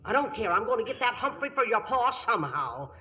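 A woman's voice: a little high, big pitch swings, a little fast, pushed and bright, highs cut off. An actress playing a scheming, folksy American mother in 1950s radio comedy, blunt and slangy. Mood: eager, scheming. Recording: vintage 1950s radio broadcast.

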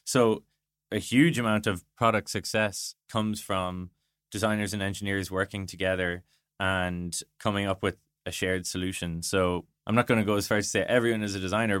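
A man's voice: low-pitched, a bit bright, clean tone, no echo, studio quality. A man being interviewed as a guest, explaining his points conversationally and thinking aloud with illustrative asides. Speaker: interview guest, product design director.

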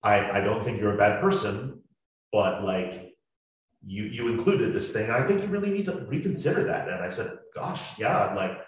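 A man's voice: lowish voice, animated, moderate pace. A man talking earnestly about making a podcast drama, with many restarts, on a panel. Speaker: podcast creator. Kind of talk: panel discussion. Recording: echoey room.

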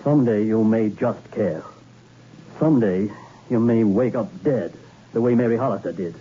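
A dull, hissy old radio recording carries a man acting a dogged, hard-boiled investigator. The voice sits fairly low. He speaks in terse, halting, probing phrases in the manner of a 1960s radio thriller. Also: lightly acted. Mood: probing, stern. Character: dogged, jittery hard-boiled male investigator named Logan.

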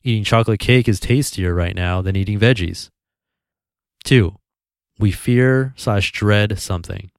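A man hosting a podcast, calmly reading a blog post aloud in an even, easygoing way. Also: fairly low pitch, a little slow, creaky, dry recording, clean studio sound. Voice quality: calm, even voice.

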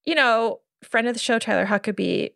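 A woman's voice: on the high side, normal speed, vocal fry, fairly bright tone, dry recording, clean studio sound. A woman in her thirties with an American accent chatting casually and exasperatedly as a podcast guest. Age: 30s. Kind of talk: casual chat.

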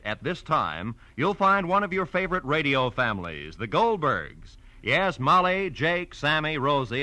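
A man's voice: fairly high pitch, sharp tone, no echo. An American man announcing in old network radio style, reading scripted credits with polished, emphatic projection.

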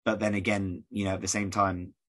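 Croaky fast-talking male podcast host. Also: sits low, little pitch movement. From United Kingdom. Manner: rambling, conversational, many fillers. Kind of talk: casual podcast chat.

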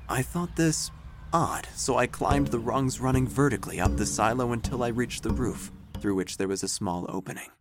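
An actor playing a wry, nosy small-town narrator, delivering formal, theatrical, deadpan comic audio drama narration over music. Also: male voice, fairly low pitch, a little hiss or hum, lightly acted.

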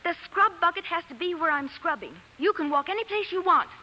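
An actress playing a spunky, plain-spoken young nurse trainee in a 1940s radio drama, forthright and folksy. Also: female voice, extremely high pitch, expressive, brisk, bright, forceful tone, exaggerated voice. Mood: feisty. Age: young adult. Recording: noisy vintage 1940s radio broadcast.

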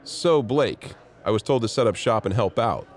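Cocky rival agent played by a man, very low voice with lively inflection, smirking. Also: normal speed, close to an everyday voice. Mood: smug. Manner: smug, swaggering. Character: cocky, smirking male agent who owns the room. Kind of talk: audio drama acting.

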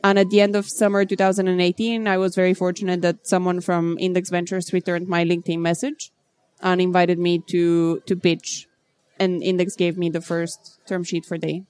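Composed low-voiced woman founder, dry sound. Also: little pitch movement, a little mellow, clear, resonant tone, no echo. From Bulgaria. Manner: measured, composed, businesslike. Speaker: startup founder. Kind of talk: interview answers.

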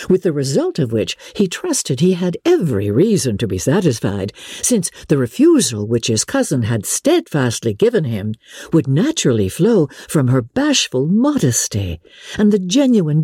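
A woman giving a measured, dramatic narration of classic literature. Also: a bass voice, dark tone, slightly rough, no room sound, studio quality. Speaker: audiobook narrator.

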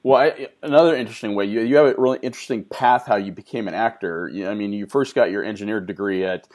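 Lowish-voiced young American man hosting. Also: quick pace, a little mellow. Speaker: podcast host, interviewer. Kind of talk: casual chat and interviewing.

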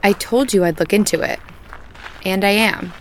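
An actress playing a calm, self-assured young woman raised on a family farm. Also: mid-range voice, moderate pace, slight background noise, lightly acted, rough recording. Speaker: farmer's daughter. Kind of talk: audio drama acting. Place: outdoors on a farm at night.

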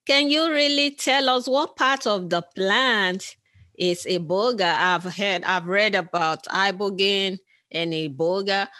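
An interviewer from Nigeria, asking slow, carefully repeated questions on a remote call. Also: male voice, high-pitched, sharp tone, dry recording, studio quality.

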